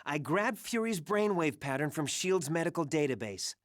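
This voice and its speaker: A man's voice: on the high side, big pitch swings, normal speed, no echo, studio quality. A man playing a nervous, eager-to-please informant in a video game cutscene, anxious and pleading.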